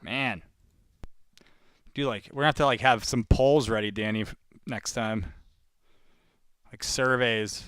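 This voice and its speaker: A man with a clean, smooth voice at an ordinary middle pitch chats casually as a live-stream caller. His delivery is informal and rambling, addressed straight to viewers, and the sound is close and dry. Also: a little slow, pauses often, fairly bright tone, no room sound. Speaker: live sales-call host, real estate cold caller. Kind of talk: casual live-stream chat between phone calls.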